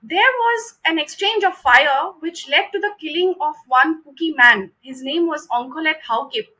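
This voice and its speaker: A woman's voice: sits high, normal speed, pushed and bright, poor audio. A woman giving a prepared political commentary in a formal, emphatic manner, repeating phrases for stress. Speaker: commentator presenting own research.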